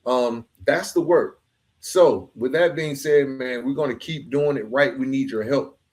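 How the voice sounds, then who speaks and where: A man hosts a casual podcast conversation in a medium-pitched voice. His talk is informal and earnest, sprinkled with "man" and "bro" as he speaks to his guest and listeners. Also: a little slow, darkish tone. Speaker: podcast host.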